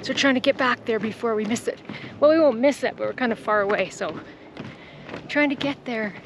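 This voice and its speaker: A woman vlogging outdoors on a trip, chatting casually and excitedly with little laughs between phrases. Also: a little high, brisk, background noise. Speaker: travel vlogger. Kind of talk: casual vlog chat. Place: outdoors at a national park.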